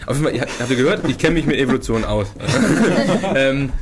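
A man's voice: mid-range voice, sing-song, brisk, over a noisy crowd. A man co-hosting a podcast in casual, joking chat, often with a chuckle in his voice. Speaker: podcast co-host. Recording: some background noise.

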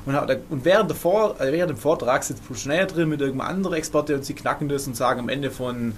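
Man, medium pitch, casual explanatory chat, podcast co-host, slight background noise. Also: fast talker.

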